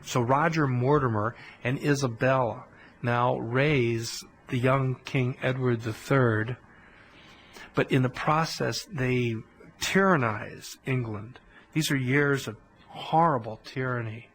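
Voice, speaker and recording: A man's voice: mid-range voice, slow pace, husky, rough recording. Man telling history in colloquial style. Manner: animated storytelling, colloquial asides. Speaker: history lecturer on a podcast. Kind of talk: conversational history lecture.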